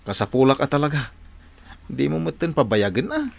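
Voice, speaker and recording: A man's voice: mid-range voice, animated, normal speed, creaky. A man acting in an Ilocano radio drama as an idle husband who coaxes and pleads playfully. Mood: wheedling. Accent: Ilocano, northern Philippines. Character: idle, wheedling husband sweet-talking his hardworking wife.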